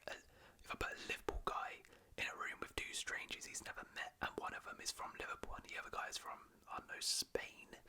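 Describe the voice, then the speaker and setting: A man whispering casual, rambling talk as a football fan, with frequent restarts. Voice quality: soft whisper throughout. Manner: rambling, conversational, restarts often.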